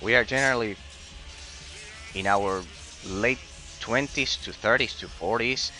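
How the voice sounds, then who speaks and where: A man's voice: lowish voice, unhurried, bright, forceful tone. A man from Argentina with an Argentine Spanish accent, a native Spanish speaker, chatting casually and very politely with live-stream viewers and often repeating words for emphasis. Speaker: game streamer. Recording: faint game music behind voice. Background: faint music.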